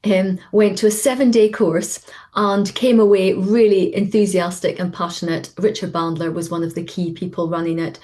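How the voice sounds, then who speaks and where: A woman, an executive coach, talking on a webinar in an animated, storytelling way. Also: medium pitch, moderate pace. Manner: animated, lively storytelling with acted quotes. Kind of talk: conversational webinar interview.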